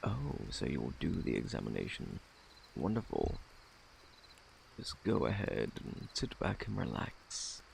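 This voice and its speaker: A very deep, gravelly British male plague doctor acted by a man speaks softly, as in an ASMR roleplay. His phrasing is formal and fussy, with lively swoops in pitch and a faintly sinister politeness. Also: measured pace, slight background noise, full character voice. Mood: clinical, hushed. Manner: formal, fussy, self-assured. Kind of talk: ASMR roleplay, soft close speech.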